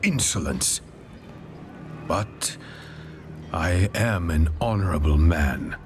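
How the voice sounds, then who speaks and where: A man's voice: low-pitched, lively intonation, slow pace, a little hiss or hum. A man playing a pompous, menacing zealot warrior in a video game, speaking with contempt. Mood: contemptuous. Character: haughty, menacing zealous holy warrior of a city. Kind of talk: video game cutscene acting.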